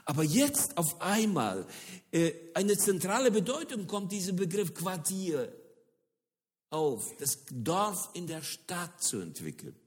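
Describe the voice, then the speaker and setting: A middle-aged man from the former Soviet Union gives a lively lecture-style sermon on church mission. He repeats words for emphasis and hesitates often. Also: high voice, takes it slowly. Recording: long hall reverb.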